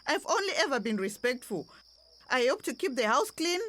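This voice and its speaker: A woman's voice: sits high, big pitch swings, normal speed, fairly bright tone. A young woman with a Zimbabwean accent playing a distressed, respectful tenant pleading in a radio drama. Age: young adult.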